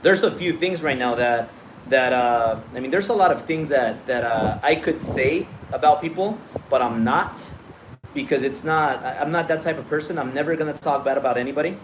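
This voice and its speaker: A man's voice: medium pitch, normal speed, slight background noise, poor audio. A man from Mexico whose first language is Spanish, speaking with a Mexican Spanish accent in casual, blunt livestream talk as a business coach, swearing and repeating himself freely. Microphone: phone on a live stream.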